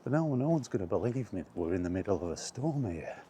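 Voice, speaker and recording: A man's voice: on the low side, sing-song, fast talker, mellow. A man chatting casually to camera while out walking, in a rambling, self-deprecating way. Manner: rambling, self-deprecating, mild exasperated swearing. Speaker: vlogger. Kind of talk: casual walking vlog chat. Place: outdoors on a path in windy weather. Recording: outdoor camera audio with background noise. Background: outdoor ambience, wind.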